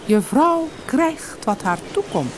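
A woman's voice: high voice, very animated, takes it slowly, background noise, voice pushed up, full character voice. A woman voicing a magical little golden fish in a fairy-tale audiobook, curt and exasperated as it deals with wishes. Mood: stern.

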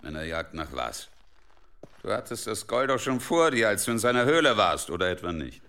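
An actor playing a brash, mocking medieval warrior in a German radio drama, with a sarcastic, needling manner. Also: male voice, on the low side, big pitch swings, normal speed, pushed and bright, clear, resonant tone.

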